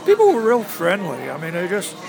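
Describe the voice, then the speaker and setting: A man in his seventies from New England, casually recalling his time as a ride guide, halting with many fillers. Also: sits high, wildly swooping pitch, creaky, a crowd in the background. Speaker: interviewee, former theme park ride guide. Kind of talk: casual reminiscing interview.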